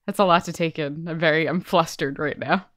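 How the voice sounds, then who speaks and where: A woman co-hosting a podcast in casual chat, reacting with quick exclamations. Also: low-pitched, steady tone, a bit bright, no echo, clean studio sound. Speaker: podcast co-host.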